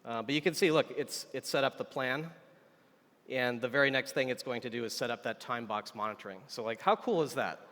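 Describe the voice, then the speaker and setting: A man with a sharp, bright voice presents a live software demo in a casual, chatty way. He thinks aloud as he works. His voice rings out in a conference hall with long reverb. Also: mid-range voice, a little fast. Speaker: software engineer demonstrating a product on stage. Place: large conference hall stage.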